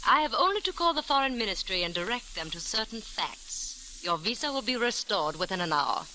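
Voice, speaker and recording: Sharp, commanding spymistress. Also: female voice, mid-range voice, big pitch swings, brisk, poor audio. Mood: cool, commanding. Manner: precise, formal, imperious. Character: cool, commanding, threatening female spymaster. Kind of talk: 1940s radio detective drama acting. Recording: old radio recording with faint hiss.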